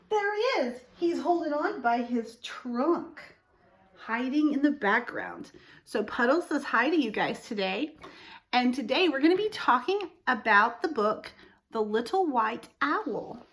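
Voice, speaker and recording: Female storytime reader for young kids: unhurried pace, slightly high voice, expressive, explains and asks questions. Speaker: storytime host reading to children. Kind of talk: read-aloud picture-book storytelling with explanations for young children.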